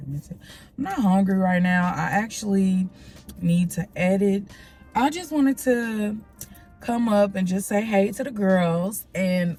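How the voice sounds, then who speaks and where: A woman's voice: on the low side, creaky, a little mellow. A young woman with a slightly nasal voice, rambling casually to her vlog viewers and calling them y'all. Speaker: vlogger. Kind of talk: casual vlog chat to camera.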